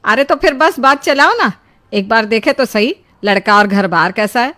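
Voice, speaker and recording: A woman's voice: a little high, expressive, normal speed, sharp tone. A woman voicing an insistent, nagging middle-aged mother in a Hindi cartoon story. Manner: scolding, insistent.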